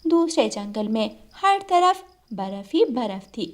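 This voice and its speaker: Woman narrator for a kids' animated tale, fairly high pitch, scripted storytelling. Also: slow pace.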